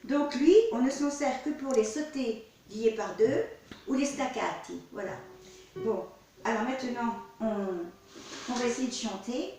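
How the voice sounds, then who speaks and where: A woman's voice: a little high, measured pace, dark tone, a little hiss or hum. A woman singing teacher with a cold, explaining vocal technique to her students in a demonstrative way, often repeating her words. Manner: demonstrative, repeats words, talks through gestures. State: has a cold. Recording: echoey room, distant mic.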